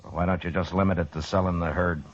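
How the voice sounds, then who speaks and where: An actor playing a steady, stern U.S. marshal with dry humor and a laconic, firm manner in an old time radio western. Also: male voice, low voice, fast talker. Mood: firm, probing. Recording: muffled, faintly noisy old radio recording.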